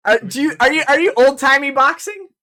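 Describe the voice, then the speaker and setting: A man narrating a tabletop game as game master, animated and laughing often. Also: very high-pitched, a little fast.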